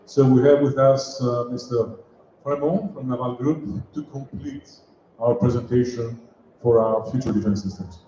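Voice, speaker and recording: A man moderating a conference webinar briskly introduces the next speaker. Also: mid-range voice, very animated, warm tone, slight background noise. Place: large conference hall. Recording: very echoey hall, dull audio.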